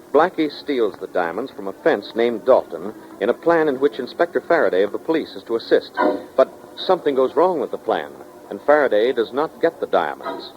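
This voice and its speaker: A man narrating a scripted story recap as a 1940s radio announcer. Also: mid-range voice. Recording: muffled 1940s radio recording. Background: music.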